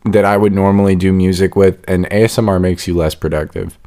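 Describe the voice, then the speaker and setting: A young man with a lowish voice. He talks in an informal, conversational way, as a guest would on a casual podcast. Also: fairly even intonation, fairly quick, dark tone, smooth. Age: young adult.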